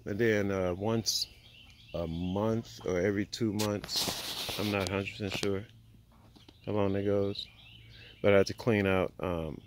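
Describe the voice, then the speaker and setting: Low-voiced man rambling on a backyard phone video. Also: a little hiss or hum. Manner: rambling, thinking aloud with many fillers. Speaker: homesteader giving a tour. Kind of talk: casual walk-and-talk vlog. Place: outdoor backyard by chicken coops. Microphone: handheld phone camera.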